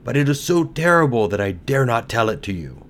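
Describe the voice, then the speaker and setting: A man's voice: mid-range voice, sing-song, a little fast, pitched above the performer's own voice. A man voicing a solemn talking rose tree in a storybook, with a grand, declamatory manner. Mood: ominous. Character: proud, solemn old rose tree, grave and foreboding.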